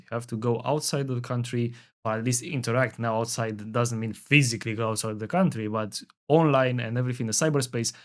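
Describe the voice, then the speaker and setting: A man from Romania, hosting an interview podcast and speaking fluently at length, with some fillers. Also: mid-range voice. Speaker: podcast host. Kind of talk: interview host.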